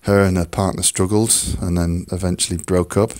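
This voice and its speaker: Hoarse, low-voiced man hosting a podcast. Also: little pitch movement, darkish tone, no echo. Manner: halting, many fillers and restarts. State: hoarse, croaky from a cold. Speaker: podcast host. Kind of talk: casual solo podcast talk.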